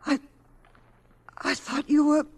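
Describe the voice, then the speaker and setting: A woman's voice: sits high, level tone, takes it slowly. A woman acting an earnest, frightened woman in an old radio drama, breathless and melodramatic in her delivery. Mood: stunned.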